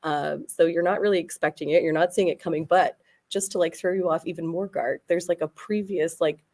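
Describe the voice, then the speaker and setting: A woman's voice: on the low side, steady tone, fairly quick, a little mellow. A woman speaking as a panel guest in a casual debate, in a hesitant, loosely rambling way. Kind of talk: casual debate about horror films.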